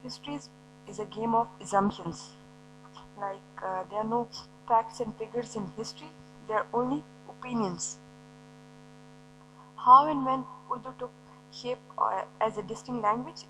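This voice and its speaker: Woman lecturer, fairly high, bright voice, explains step by step, faint hum underneath. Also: rough recording. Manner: explanatory, poses and answers her own questions. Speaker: teacher. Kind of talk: recorded classroom lecture.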